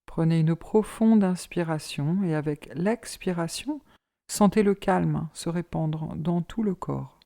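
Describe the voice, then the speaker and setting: A woman leading a guided yoga relaxation as an instructor, in a calm, measured, soothing manner. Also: lowish voice, vocal fry.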